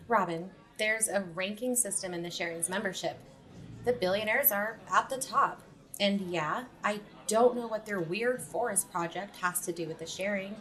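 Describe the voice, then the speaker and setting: A skeptical woman voiced by an actress in an audio drama, speaking at an ordinary middle pitch. She is the sardonic, sharp-tongued friend. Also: moderate pace, natural voice. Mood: sardonic, sure.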